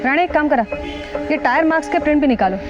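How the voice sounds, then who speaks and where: A woman's voice: sits high, animated, quick pace, lo-fi sound. A woman acting as a stern, sardonic commanding police officer in a crime serial. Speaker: actor in TV crime drama. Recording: loud dramatic background music.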